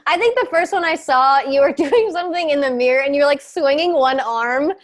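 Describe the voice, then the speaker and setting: Young female podcast host, higher-pitched, irreverent, joking conversational delivery with loose swearing. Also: brisk. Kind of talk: casual chat.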